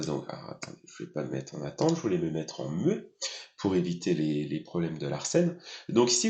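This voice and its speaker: A man giving an unscripted live software demonstration, explaining step by step with a hesitant, halting delivery. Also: fairly low pitch. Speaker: software demo presenter. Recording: echoey room, slightly dull sound.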